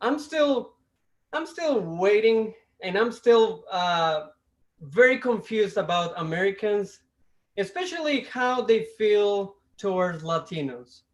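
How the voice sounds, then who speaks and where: A man from Costa Rica with a Costa Rican Spanish accent, doing a stand-up comedy set over a video call, with a deliberate delivery that stops and restarts. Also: high voice, lively intonation, highs cut off. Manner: deliberate, with restarts and self-amused laughs. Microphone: video call mic.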